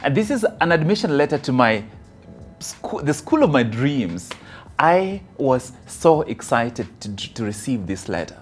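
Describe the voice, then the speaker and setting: A man with a Kenyan accent giving a motivational personal testimony, phrasing deliberately and dramatically with emphatic stresses. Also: medium pitch, sing-song, soft background music. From rural Kisii, Kenya. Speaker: motivational speaker.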